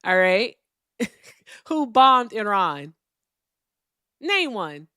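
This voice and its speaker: A woman's voice: medium pitch, very animated, extremely slow, bright, forceful tone, smooth, no room sound, clean studio sound. A woman hosting a live call-in debate stream, blunt and mocking as she talks over her caller. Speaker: host.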